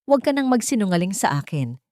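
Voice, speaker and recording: A man's voice: sits high, very animated, fast, no echo, clean studio sound, pitched above the performer's own voice. A woman voicing a furious, domineering rich father who gives commanding, stressed orders in an audio drama. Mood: angry, commanding. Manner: forceful, commanding, stressed words.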